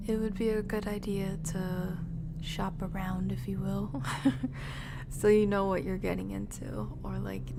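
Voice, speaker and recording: A woman's voice: mid-range voice, creaky. A woman streaming a game, chatting casually and thinking aloud in an informal, musing way. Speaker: live streamer. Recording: noisy audio with loud background sound.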